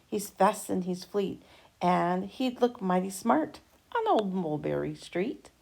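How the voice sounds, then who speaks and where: Lady reading a rhyming kids book out loud, bit creaky voice, really acts out the lines. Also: mid-range voice. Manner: animated, dramatic emphasis with drawn-out words. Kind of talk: expressive read-aloud of a rhyming children's picture book.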